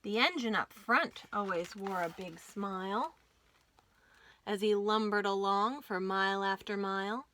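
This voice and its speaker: A woman hosting a children's storytime, reading aloud with an expressive, deliberate delivery for young listeners. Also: mid-range voice, a little hiss or hum. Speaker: children's librarian and storytime host.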